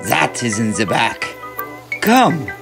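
A man's voice: medium pitch, very animated, creaky, over music, full character voice. A man playing an eccentric, genial German inventor and barber in a comedic audio drama, with a thick theatrical delivery and German accent. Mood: genial, grandiose. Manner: halting, theatrical, exclaiming.

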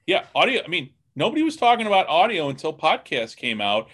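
A man's voice: a little high, big pitch swings, a little fast, fairly bright tone, breathy edge. A man hosting a casual podcast interview, talking in a rambling, folksy way as he thinks aloud. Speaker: podcast host interviewing guests. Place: remote call on a computer.

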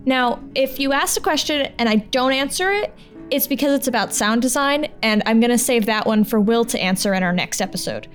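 A woman's voice: fairly high pitch, expressive, brisk. A woman hosting a podcast, talking in a chatty, informal and reassuring way. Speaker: podcast host and audio drama producer. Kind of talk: casual podcast talk over music. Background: plucked guitar music.

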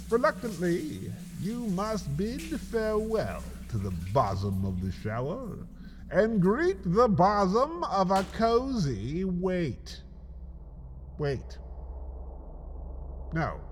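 A man narrating a scripted comic story in the second person, theatrical and melodramatic, with dramatic pauses. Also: high-pitched, fairly bright tone, slight background noise. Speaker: writer and narrator of a comedy story podcast.

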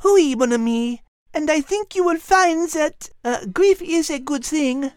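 A woman playing a clever, gentle female cat with a French accent, playful and patient, in a children's audio story. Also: high-pitched, a little slow, no room sound, clean studio sound. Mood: gentle, wise. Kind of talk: scripted character dialogue in a children's audio story show.

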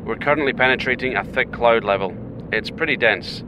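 A man plays a British astronaut captain in a sci-fi audio drama. He reports calmly and formally with a dry wit in a mid-range voice. A clear vehicle rumble runs behind him. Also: a little fast, close to an everyday voice. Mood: composed, focused. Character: brave British astronaut captain, calm, dryly humorous. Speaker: mission captain. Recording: noisy craft rumble behind.

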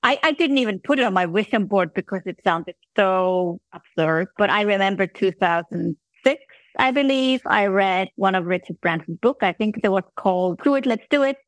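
A woman's voice: medium pitch, expressive, normal speed, dry recording, low-quality recording. A woman from Iceland, not a native English speaker, talking as an interview guest about her online business in an upbeat, chatty way. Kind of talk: conversational interview. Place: based in Switzerland.

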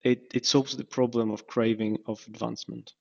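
A man's voice: medium pitch, little pitch movement, moderate pace, creaky, breathy edge. A man from Ukraine, a startup founder interviewed as a guest, speaking casually and hesitantly with many fillers and restarts. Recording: dull lo-fi podcast audio.

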